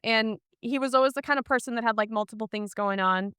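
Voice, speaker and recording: A woman's voice: a little high, fast, fairly bright tone, smooth, dry recording, clean studio sound. A woman from Iceland speaking as a podcast guest, telling her career story in a candid, chatty way.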